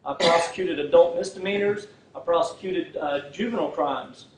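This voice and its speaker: A man's voice: a little high, moderate pace. A man giving a folksy campaign speech with lots of "uh" fillers and restarts, speaking in a community hall without a microphone. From Fort Worth, Texas. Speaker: political candidate. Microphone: no microphone, recorded from far off. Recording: echoey hall from far off, faint hiss.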